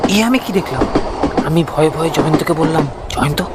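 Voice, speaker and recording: A man narrating a scripted horror story in the first person, with a suspenseful, expressive, dramatic delivery. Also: fairly high pitch, fairly quick, heavy background noise.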